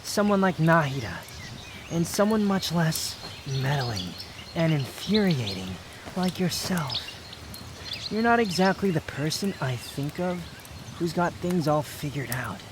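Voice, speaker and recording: A proud young man, played by a male actor, speaks in a fairly high voice, bitter and guarded with tenderness underneath. The delivery is an intimate, brooding ASMR roleplay, curt at the edges and confessional. Clear outdoor nature ambience sits behind the voice. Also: big pitch swings, a little slow, background noise. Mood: defensive, grudgingly fond.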